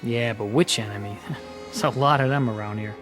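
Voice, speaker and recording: An actor playing an inquisitive, wry police officer in a radio drama, speaking conversationally. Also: male voice, lowish voice, normal speed, over music, close to an everyday voice. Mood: curious, wry.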